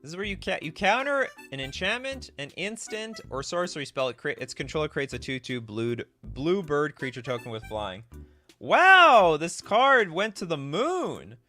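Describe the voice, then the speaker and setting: A man hosting a casual live stream, reading aloud and thinking out loud with frequent exclamations. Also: on the high side, big pitch swings, normal speed, faint music behind. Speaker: host of a card game live stream.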